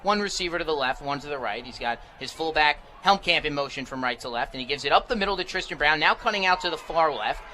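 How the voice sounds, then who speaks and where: A man's voice: fairly high pitch, lively intonation, fast, hardly any pauses, bright, forceful tone. An American man calling live football play-by-play for radio in clipped, descriptive phrases. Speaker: play-by-play sports announcer. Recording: live broadcast with faint background noise.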